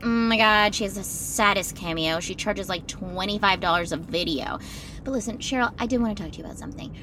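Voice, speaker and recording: A woman's voice: medium pitch, brisk, noisy background, lightly acted. An actress playing a vain, self-absorbed female stage star in a modern audio drama, with a sarcastic, gossipy, theatrical manner.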